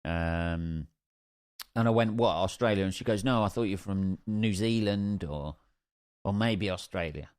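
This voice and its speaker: Low-voiced sweary Northampton man. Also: measured pace, darkish tone, no room sound, studio quality. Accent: English, Northampton. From England. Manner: sweary, rambling, jokey asides. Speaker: podcast host, stand-up comedian. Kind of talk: casual solo podcast storytelling.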